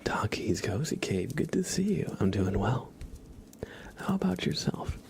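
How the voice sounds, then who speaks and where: A man hosting an ASMR livestream, speaking in a gentle, mostly unvoiced whisper and repeating phrases unhurriedly. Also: mid-range voice, very animated, unhurried, creaky. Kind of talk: ASMR, greeting viewers and tracing their names.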